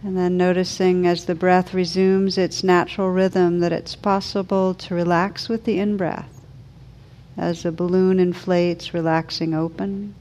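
A woman leading a guided meditation as a teacher, speaking slowly and soothingly with gentle pauses. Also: on the low side, level tone, lo-fi sound.

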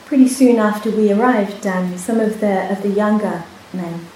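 A woman whose first language is Hebrew, a meditation teacher telling a story in a calm, unhurried way with many pauses. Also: medium pitch. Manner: soft-spoken, unhurried, with many pauses and restarts. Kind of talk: dharma talk with personal story. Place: retreat hall. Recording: echoey hall, faint hiss.

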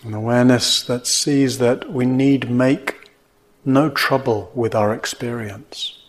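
A man teaching meditation, guiding gently and in a measured way, with pauses between short phrases. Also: medium pitch, steady tone. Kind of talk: guided meditation.

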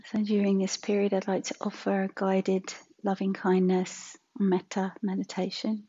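A woman teaching a guided meditation in a soft, breathy, husky voice, gentle and unhurried with long pauses. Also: medium pitch, monotone, lo-fi sound.

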